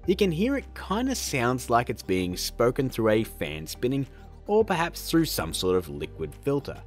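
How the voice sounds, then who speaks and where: A man hosting a casual software tutorial, upbeat and playful with joking asides. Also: mid-range voice, huge pitch swings, normal speed, soft background music. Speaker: tutorial host.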